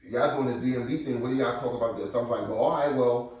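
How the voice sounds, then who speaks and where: A man's voice: mid-range voice, fast talker. Man, battle rap veteran, talky, repeats himself, roomy distant sound. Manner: animated, repeats words, quotes himself in conversation. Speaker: battle rap league figure being interviewed. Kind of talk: casual interview chat. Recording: echoey room, voice picked up from a distance.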